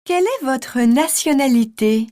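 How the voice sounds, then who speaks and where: A woman reading French textbook dialogue lines slowly and with clear, careful diction. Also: high voice, animated, mellow, no echo, studio quality. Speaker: textbook audio voice actor. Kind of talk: slow scripted dialogue reading.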